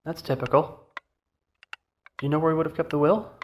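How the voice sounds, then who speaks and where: A man's voice: a little high, steady tone, a little fast, lightly acted. A man playing a blunt, skeptical young adult son in an audio drama, wry but dutiful. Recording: faint ambient noise behind.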